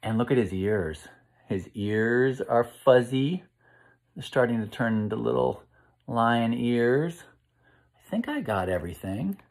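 A middle-aged American man hosts a read-aloud for young children. He speaks slowly at a middle pitch, with expressive, encouraging delivery. He often pauses to put simple questions to his listeners. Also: creaky. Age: 50s. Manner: slow, expressive, encouraging, pausing to ask questions. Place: indoor room at home.

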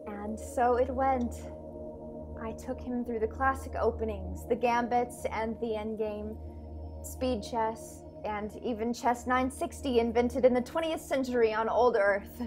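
A young woman plays a devoted female starship attendant in a science-fiction radio drama. Her voice sits a little high, with faint music behind it. She speaks in a measured, formal, composed way. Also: steady tone, lightly acted. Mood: wistful. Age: young adult. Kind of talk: radio play acting and narration. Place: bedroom, over a video call.

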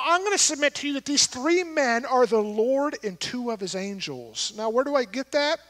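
A man's voice: very high voice, a bit bright. A middle-aged man preaching a sermon from a church stage, talking conversationally and asking his listeners questions. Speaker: pastor. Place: large church stage with an audience.

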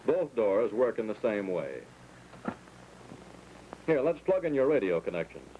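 American flight instructor on muffled, noisy 1940s film. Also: male voice, lowish voice, very animated, measured pace. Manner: clear, orderly step-by-step instructing.

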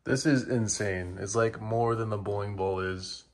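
A man's voice: lowish voice, fairly even intonation, unhurried, darkish tone, slight background noise. A man in his thirties hosting a vlog in an informal, matter-of-fact way, recorded in a living room. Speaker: video host. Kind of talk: casual explainer vlog.

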